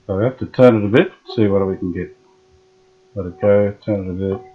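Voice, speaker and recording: A man's voice: lowish voice, fairly quick. A man restoring an old radio, thinking aloud as he talks through each step. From Australia. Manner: relaxed, thinking aloud with frequent fillers. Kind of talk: hands-on workshop narration. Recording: dull lo-fi workshop audio.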